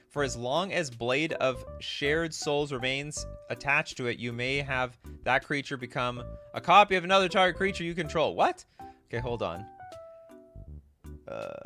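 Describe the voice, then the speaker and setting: Animated, bright-voiced man live-streaming. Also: mid-range voice. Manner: animated, thinking aloud, exclaiming. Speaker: host of a card game live stream. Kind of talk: casual live-stream commentary, reading cards and chat aloud.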